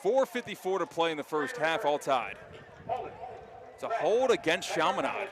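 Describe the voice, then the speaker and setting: Higher-pitched American football announcer over loud noise. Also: male voice, big pitch swings, moderate pace. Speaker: play-by-play announcer. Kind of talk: live American football play-by-play commentary. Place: outdoor stadium. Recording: live stadium broadcast with loud background noise. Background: loud stadium noise.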